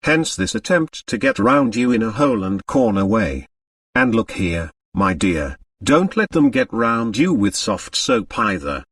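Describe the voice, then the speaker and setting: A man's voice: lowish voice, unhurried, no room sound, studio quality, far from the mic, full character voice. A man voicing a big, middle-aged storybook doctor, breezy, blustering and emphatic in his indignation. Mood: indignant, fuming. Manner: energetic, emphatic, fuming.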